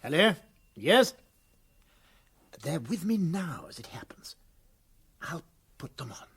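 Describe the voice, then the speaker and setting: Comic radio governor, older, well-spoken English, understated and shrewd, highish lively delivery. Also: male voice, takes it slowly, slightly rough, exaggerated voice. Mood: self-satisfied. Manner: polite, understated, gently pompous. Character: well-spoken elderly prison governor, genial and quietly shrewd. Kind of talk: comic radio play acting.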